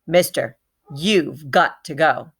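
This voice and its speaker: A woman's voice: deep voice, slow pace, slightly rough, exaggerated voice. Woman acting a stern female teacher, storybook villain, curt, disgusted, rodent-hater. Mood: menacing. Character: stern woman schoolteacher who loathes rodents, horrified and curt.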